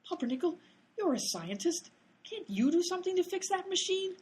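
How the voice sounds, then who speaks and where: Lady doing a whiny fed up tap dancer, high swoopy voice, old radio comedy. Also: big pitch swings, fairly quick, darkish tone. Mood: grumbling, exasperated. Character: grumbling, exasperated vaudeville tap-dancer woman.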